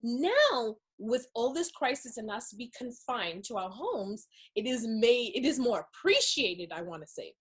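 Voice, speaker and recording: Husky, gushing woman magazine interviewer. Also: a little high, sing-song, a little slow, few pauses. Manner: effusive, gushing with compliments. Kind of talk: enthusiastic video interview.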